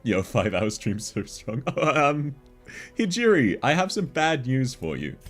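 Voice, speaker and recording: A man's voice: mid-range voice, sing-song, measured pace, faint music behind. A man with an Australian accent, chatting casually with viewers on a game livestream, laughing often as he answers chat. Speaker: game streamer.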